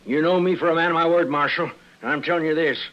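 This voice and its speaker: A man's voice: a little high, fairly even intonation, quick pace, lightly acted. Man playing a bitter, stubborn old rancher. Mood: angry, stubborn. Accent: rural American. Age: older. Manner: blustering, harsh, uncompromising. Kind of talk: 1950s radio western acting. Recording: muffled, noisy old radio recording.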